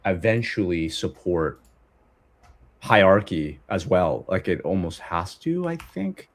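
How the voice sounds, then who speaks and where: Man, lowish voice, reflective casual tech chat, tentative suggestions. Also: big pitch swings, takes it slowly. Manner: reflective, offers suggestions with hedges.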